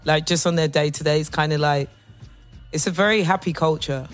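A man's voice: fairly high pitch, steady tone, brisk, soft background music. A male radio host interviewing a guest, enthusiastic and playful with lots of "like" fillers.

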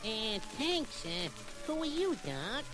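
A cheeky wisecracking cartoon rabbit. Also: female voice, on the high side, big pitch swings, slow. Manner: brash, teasing, playful. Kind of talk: children's radio story record. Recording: old record with music behind.

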